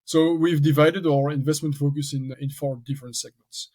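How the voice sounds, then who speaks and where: A man's voice: fairly high pitch, fairly even intonation, normal speed, a little mellow. A man from French-speaking Belgium with a strong French accent, a talkative podcast guest who thinks aloud in restarts. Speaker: venture capital founder, guest. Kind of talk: casual podcast interview.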